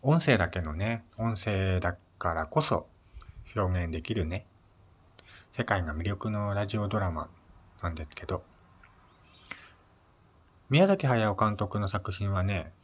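Low-voiced man hosting a casual talk show. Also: expressive, a little slow, pauses often. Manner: polite, conversational. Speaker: host of a personal audio show. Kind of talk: casual solo radio-style chat.

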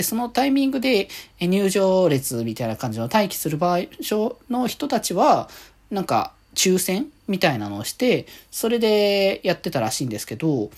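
A woman hosting a podcast, chatting casually on her own in halting, trailing phrases. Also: fairly low pitch, darkish tone, breathy edge. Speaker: podcast host. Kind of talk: casual solo chat about fan events.

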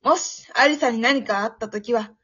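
A woman acting a bubbly, pushy, affectionate teenage girl in an amateur radio drama, with a cutesy, expressive delivery. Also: on the high side, moderate pace, slightly rough, faint music behind. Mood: heartfelt. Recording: dull, lo-fi audio.

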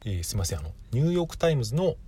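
A man's voice: medium pitch, big pitch swings, rapid-fire, mellow, breathy edge. A man hosting a podcast solo, talking casually and thinking aloud with frequent self-corrections. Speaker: podcast host.

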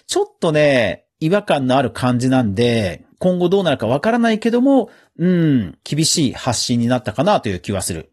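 Male host, higher-pitched, husky, very animated intonation, casual news commentary. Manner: conversational, chatty. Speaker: podcast host.

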